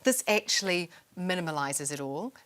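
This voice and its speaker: Australian woman calmly selling serum on tv, lowish voice, very sure of herself. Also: moderate pace. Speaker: skincare product guest. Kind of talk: product explanation on TV.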